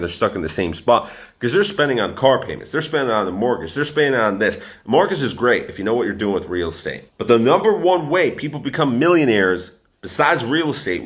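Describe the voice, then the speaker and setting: A man in his twenties talking straight to his viewers in a casual, energetic explainer about money. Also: lowish voice. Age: 20s. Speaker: personal finance YouTuber.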